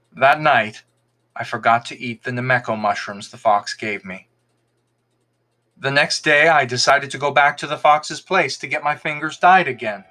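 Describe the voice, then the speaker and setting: A man narrating a folktale from a script in a measured, expressive storytelling manner, as a podcast reader. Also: medium pitch.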